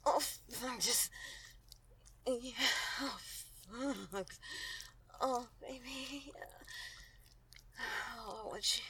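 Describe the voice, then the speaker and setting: A woman playing a seductive, dominant yet tender lover in an intimate adult audio roleplay, with a breathy voice. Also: a little high, very slow, long pauses, fairly bright tone, slight background noise. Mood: aroused, urgent.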